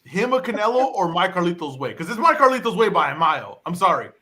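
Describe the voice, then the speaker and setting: A man's voice: sits high, very animated, quick pace, bright, forceful tone. A man on a sports show panel, chatting casually and giving his analysis.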